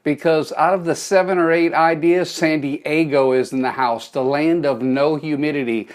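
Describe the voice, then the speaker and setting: A man's voice: a little high, steady tone, normal speed. A middle-aged man with a Cajun accent, hosting a live phone stream, giving a folksy motivational talk and greeting viewers by name. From South Louisiana.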